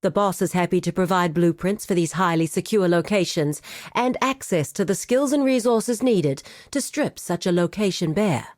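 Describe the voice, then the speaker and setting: A woman's voice: lowish voice, normal speed, a little mellow, no room sound, studio quality, lightly acted. A woman voicing a composed, formal administrator of a criminal guild in a video game, speaking precisely and with cool courtesy. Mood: formal, businesslike. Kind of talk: scripted video game character dialogue.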